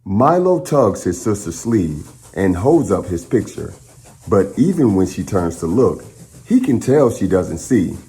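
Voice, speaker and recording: Animated, slow-paced man reading to children. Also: sits low, slight background noise. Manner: encouraging, expressive, addresses children directly. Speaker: children's storytime host. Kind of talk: children's read-aloud and upbeat chat to young viewers.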